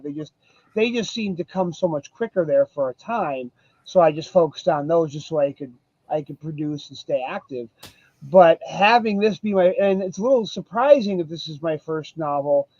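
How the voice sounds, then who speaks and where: Mellow-voiced man on a dull mic. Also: a little high, no echo. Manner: relaxed, reflective, many fillers. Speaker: guest author. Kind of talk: casual interview chat.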